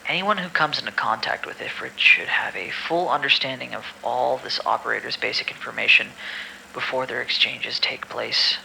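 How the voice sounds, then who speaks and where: A man's voice: mid-range voice, vocal fry, bright, forceful tone, a little hiss or hum, heavily acted, low-quality recording. A man playing an irritable, cynical staff member who records medical files in an amateur audio drama, shifting between dry formal reading and exasperated grumbling.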